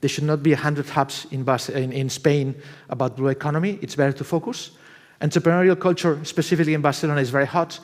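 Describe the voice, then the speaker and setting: A man wraps up a public talk, stopping and restarting often as he speaks. His voice sits somewhat higher than most men's. A long hall reverb follows each phrase. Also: steady tone. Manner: hesitant, halting restarts. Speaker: symposium speaker. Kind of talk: public presentation. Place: symposium hall.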